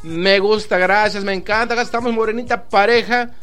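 A Mexican man hosting a live online radio show, rambling through shout-outs and jokes in a chatty, loose way. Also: high voice, fairly even intonation, fairly quick, smooth. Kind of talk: casual live radio chat with greetings. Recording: faint music in the background.

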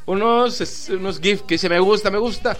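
High bright chatty Mexican man. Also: moderate pace. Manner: chatty, joking, rambling shout-outs. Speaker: online radio host. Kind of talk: casual live radio chat with greetings. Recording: faint music in the background.

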